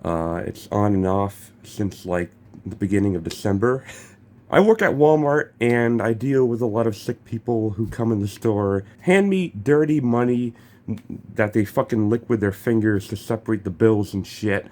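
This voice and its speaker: A young man with a low-set voice talks straight to his audience in a loose, unscripted vlog. He has a cold and his throat sounds thick and congested. He swears freely and trips over his words, restarting sentences often. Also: normal speed, dark tone. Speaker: gaming YouTuber. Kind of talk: casual vlog commentary.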